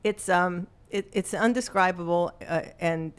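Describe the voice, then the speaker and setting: A fairly low-pitched, middle-aged woman talking in relaxed interview chat. She tells her stories loosely, with small restarts along the way. She breaks into easy laughter often. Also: measured pace, clear, resonant tone. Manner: chatty storyteller, laughs often, frequent restarts. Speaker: songwriter guest.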